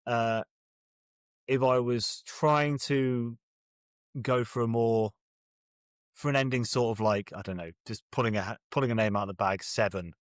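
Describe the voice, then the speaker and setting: A man's voice: mid-range voice, moderate pace, long pauses, no echo, studio quality. A British man talking casually as a guest author, wry, often hesitating and correcting himself mid-thought. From Birmingham, England. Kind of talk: casual interview conversation.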